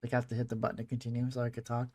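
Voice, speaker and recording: A game player giving casual commentary in a rambling, halting way. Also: mid-range voice, completely flat delivery, warm tone. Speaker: gaming video host.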